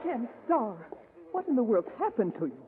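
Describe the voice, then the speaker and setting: A woman's voice: fairly high pitch, very animated, moderate pace, background noise. An actress playing Miriam, an earnest, sympathetic society woman in a 1940s radio drama, speaking emotionally. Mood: pleading. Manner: emotive, pleading. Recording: tinny old radio broadcast with long reverb.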